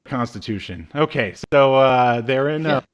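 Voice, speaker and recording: A man's voice: medium pitch, animated, moderate pace, dull audio. Man casually recapping on a podcast. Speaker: podcast co-host. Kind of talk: casual recap and review chat. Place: small indoor room, video call.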